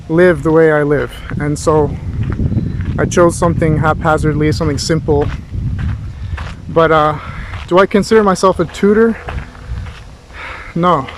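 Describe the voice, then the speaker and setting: A man giving a rambling, reflective philosophical monologue like a tutor talking to himself, halting as he searches for words. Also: fairly high pitch, slight background noise, rough recording. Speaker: philosophy tutor and vlog host. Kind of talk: rambling, reflective monologue recorded while walking.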